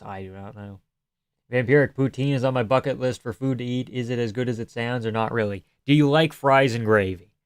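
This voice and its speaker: A young man from Canada streams live, riffing on viewer comments in a loose, sarcastic way with plenty of swearing. His voice comes through smooth and clear on a close mic in a dry, dead room. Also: medium pitch, no echo. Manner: casual, sarcastic, swears freely.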